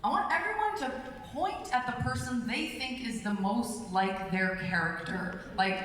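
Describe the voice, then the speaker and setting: Higher-voiced woman hosting in a big echoey hall. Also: a little slow, a bit bright. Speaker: panel host and table read narrator. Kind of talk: live convention panel hosting. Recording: distant, echoey hall, crowd and noise.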